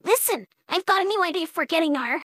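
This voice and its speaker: A scrappy young pegasus filly from a cartoon pony story. The voice is piercingly high and bright. It is blunt and excitable, with childlike bounce. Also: female voice, quick pace, dry recording. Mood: excited.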